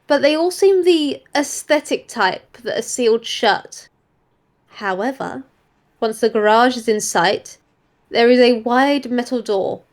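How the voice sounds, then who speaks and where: A woman reading role-play story narration aloud in an expressive style. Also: on the high side.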